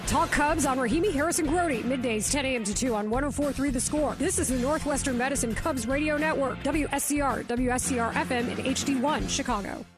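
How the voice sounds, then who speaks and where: A woman reading a scripted radio station identification, crisp promo delivery. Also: sits high, fairly quick, few pauses, music in the background. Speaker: station announcer.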